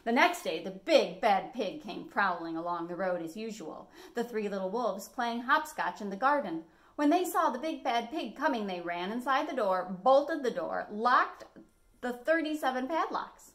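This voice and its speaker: An American woman in her forties reading a picture book expressively as a storytime host, phrasing clearly for children. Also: fairly high pitch, animated, moderate pace, fairly bright tone. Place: small living room at home, indoors.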